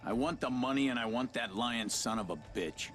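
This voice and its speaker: A man playing a grim, short-tempered veteran lawman in a video game, gruff and terse. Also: mid-range voice, moderate pace, close to an everyday voice. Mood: irritated. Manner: gruff, terse, hard-boiled. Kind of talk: dramatic video game dialogue. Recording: loud music over the voice.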